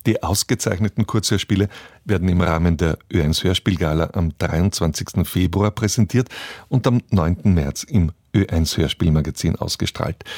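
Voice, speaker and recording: A man with a very low voice presents on the radio in a scripted, announcer's style. The sound is dry and close, with no room around it. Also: a little mellow, dry recording.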